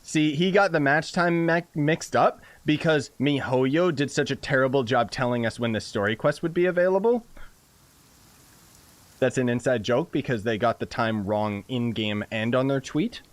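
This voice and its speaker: A man's voice: medium pitch, moderate pace, no room sound. Chatty young man streaming a game. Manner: chatty, rambling, reacts with laughs. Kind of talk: casual live gameplay commentary. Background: game music.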